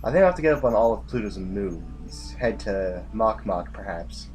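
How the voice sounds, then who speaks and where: An actor playing a weary, commanding general in an audio drama, with an authoritative, brooding way of speaking. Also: male voice, on the low side, animated, moderate pace, darkish tone, faint music behind, natural voice. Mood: somber. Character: weary, commanding male general facing a losing war.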